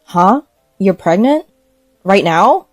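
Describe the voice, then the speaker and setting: A woman's voice: mid-range voice, expressive, takes it slowly, dry recording, studio quality, faint music behind. A woman voicing a callous husband in his thirties, dismissive and belittling, in a narrated story. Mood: scornful.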